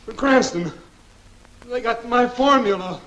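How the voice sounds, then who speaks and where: An actor playing an agitated inventor in a 1940s film serial. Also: male voice, extremely high pitch, unhurried, fairly bright tone, muffled recording. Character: agitated male inventor robbed of his formula. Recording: faintly noisy 1940s film soundtrack.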